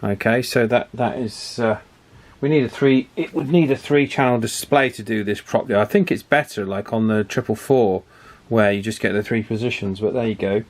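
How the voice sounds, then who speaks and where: A man's voice: fairly low pitch, darkish tone. A man giving casual hands-on repair commentary, thinking aloud in a hesitant, methodical way and repeating himself as he works. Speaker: radio repair hobbyist presenting a video.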